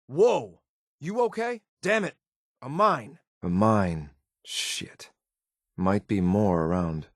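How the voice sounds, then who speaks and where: Deep-voiced, clipped, loyal synth soldier. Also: male voice, no room sound, clean studio sound. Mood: urgent, concerned. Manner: clipped, military, deferential yet blunt. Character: loyal, coldly disdainful synth soldier, dutiful right-hand man. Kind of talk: video game companion voice lines.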